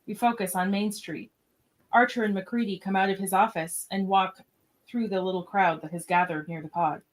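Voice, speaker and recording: A woman's voice: medium pitch, steady tone, normal speed. A woman narrator reading scripted stage directions for radio theatre in a matter-of-fact manner.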